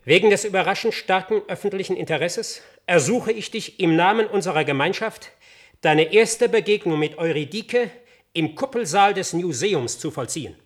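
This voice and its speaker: An actor playing an earnest, formal official envoy in a radio play. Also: male voice, high-pitched, lively intonation, moderate pace. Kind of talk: German radio play acting.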